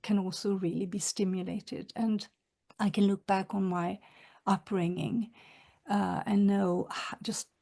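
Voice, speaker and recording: A woman from northern Sweden, an author giving a reflective webinar talk, soft-spoken and halting with many fillers, over a video call. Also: medium pitch, flat delivery, gravelly. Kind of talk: reflective lecture with read quotations.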